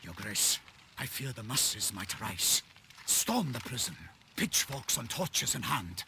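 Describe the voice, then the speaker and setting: An actor playing a deferential, earnest knightly captain in a video game, speaking formally and with urgency. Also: male voice, mid-range voice, sing-song, takes it slowly, vocal fry, bright, forceful tone, a little hiss or hum, heavily acted. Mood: anxious, urging. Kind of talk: dramatic video game acting. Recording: faint music behind the voice.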